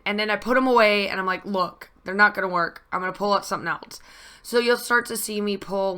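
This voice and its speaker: Chatty, bright-voiced woman crafting voice-over. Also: mid-range voice. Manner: rambling, many fillers and restarts. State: slightly groggy voice after losing it. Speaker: scrapbooking video host. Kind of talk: casual voice-over narration. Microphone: voice-over mic.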